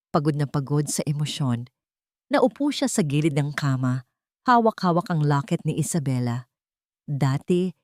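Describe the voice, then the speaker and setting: A woman's voice: deep voice, darkish tone, no echo, clean studio sound. A woman narrating a dramatic scripted story as a storyteller.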